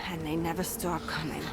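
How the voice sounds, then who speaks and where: An actress playing a gruff, weary middle-aged small-town mother in a horror game, earthy, folksy and sardonic. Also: sits low, fairly even intonation, unhurried, noisy background. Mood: weary, wry. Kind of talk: video game character monologue.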